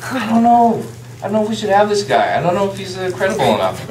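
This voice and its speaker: A man acts as master of ceremonies at a live event, talking in a playful, hyping way. His voice sits a little high and moves around animatedly. He is picked up from a distance in an echoing room. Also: big pitch swings, fast talker. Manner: playful, jokey hype. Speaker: event host introducing a guest author. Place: indoor venue in a restaurant. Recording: roomy live venue, distant mic, faint noise.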